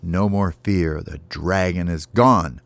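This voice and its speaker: A man's voice: low voice, slow pace, dry recording. A man voicing a brave, cocky baby bird knight in a children's story, with mock-heroic declarations. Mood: righteous, defiant.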